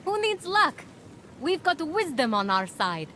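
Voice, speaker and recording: A woman's voice: sits high, sing-song, a little slow, bright, forceful tone, a little hiss or hum, heavily acted. A woman playing an earnest, stubborn and plucky young mortal hero in a video game, talking urgently and directly. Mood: determined, plucky. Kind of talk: video game cutscene acting. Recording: game music behind the voice.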